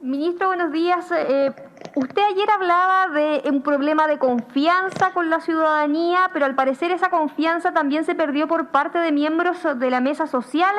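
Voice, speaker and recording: High-voiced woman journalist asking questions. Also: normal speed, a bit bright. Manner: hesitant, probing. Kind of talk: press conference question.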